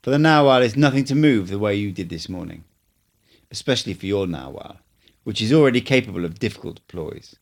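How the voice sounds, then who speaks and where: A patient old sorcerer explains the nature of things in an unhurried, instructive tone, as a man reading an audiobook puts him on. The voice sits on the low side, and the delivery stays measured and cryptic. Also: lively intonation. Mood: explaining. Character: old male sorcerer teacher, calm, cryptic.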